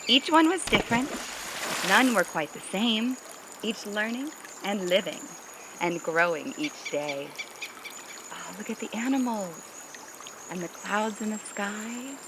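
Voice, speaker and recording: A woman reading a children's story aloud in an expressive, dramatic way, speaking to young listeners. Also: mid-range voice, noisy background. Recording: music behind the voice.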